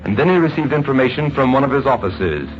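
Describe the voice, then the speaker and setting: A man narrating a 1950s radio drama in a grave, deliberate, suspenseful scripted style. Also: mid-range voice, lively intonation. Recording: muffled vintage radio recording. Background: dramatic music.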